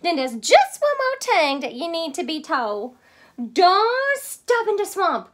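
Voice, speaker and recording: A woman voicing a caring, bossy Cajun mother with an imitated Cajun accent, high and emphatic. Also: very animated, takes it slowly, fairly bright tone, full character voice.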